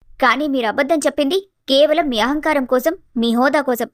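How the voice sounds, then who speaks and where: A woman voicing a bold, clear-headed young daughter-in-law in a family story, firm and confronting. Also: high-pitched, moderate pace, dry recording, studio quality, lightly acted.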